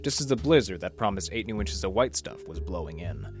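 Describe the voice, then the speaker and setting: A noir radio gumshoe, lowish voice, dry wisecracks, soft hearted, bit of music behind. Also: male voice, animated, a little fast. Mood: somber narration. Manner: wry, slangy, sardonic. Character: wisecracking hard-boiled male library detective, dryly soft-hearted. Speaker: lead actor and narrator. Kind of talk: noir radio detective acting and narration.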